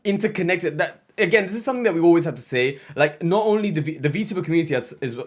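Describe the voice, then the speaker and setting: An excited male co-host keeps jumping in with praise and reactions during a relaxed interview. His voice sits a touch high for a man. The chat feels loose and friendly in tone. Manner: enthusiastic, quick to interject.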